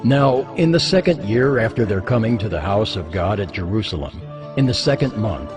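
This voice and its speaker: A man narrating scripture as a scripted dramatized reading, solemn and expressive in his storytelling. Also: on the low side, moderate pace. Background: music.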